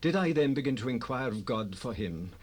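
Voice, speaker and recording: A man's voice: mid-range voice, lively intonation, brisk, natural voice. An actor playing a loyal priest in a dramatized Bible recording, earnest and formal as he pleads. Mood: earnest protest. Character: loyal priest, protesting his innocence before the king.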